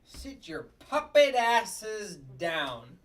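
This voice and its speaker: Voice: medium pitch, big pitch swings, drawn-out words, recorded from a distance. A performer at a comedy table read playing a blunt, wisecracking, cynical puppet district attorney. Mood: brusque.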